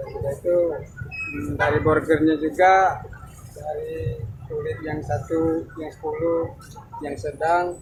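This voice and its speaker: A man's voice: fairly high pitch, flat delivery, slow, long pauses. A man who sells kebabs gives brief, hesitant answers in an interview at his outdoor market stall. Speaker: kebab vendor. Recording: street noise at a market stall.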